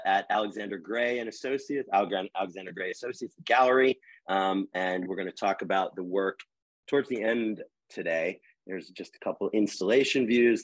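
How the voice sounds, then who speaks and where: A man's voice: lowish voice, dry recording, low-quality recording. Man hosting a video call, rambling. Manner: rambling, many fillers and restarts. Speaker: host, editor-at-large. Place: home room with wood panel wall. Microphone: video call, earbuds.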